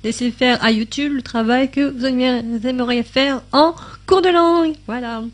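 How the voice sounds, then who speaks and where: Chatty woman demoing subtitles, fairly high voice. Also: moderate pace. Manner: chatty, thinks aloud with many fillers; reads out translated subtitles in other languages. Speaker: YouTube tutorial maker. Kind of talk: casual screen-recording tutorial.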